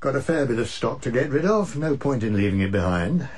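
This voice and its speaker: A man's voice: medium pitch, expressive, fairly quick, a little mellow, slight background noise, natural voice. An actor playing a blunt, weary older English husband and father in a radio drama, with a wry, old-fashioned turn of phrase. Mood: weary, fond. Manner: wry, swearing, old-fashioned upper-middle-class turns of phrase.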